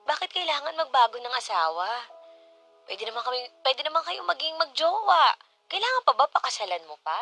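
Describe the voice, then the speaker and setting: A woman's voice: sits high, very animated, fairly quick, vocal fry, bright, forceful tone, faint music behind, full character voice. A woman playing a headstrong, suspicious adult daughter in a Tagalog radio drama. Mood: hurt, protesting.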